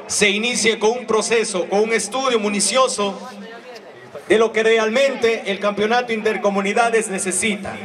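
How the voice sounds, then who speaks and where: A man's voice: sits high, recorded from a distance. A man with an Ecuadorian accent delivering a formal public speech. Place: outdoor sports ground.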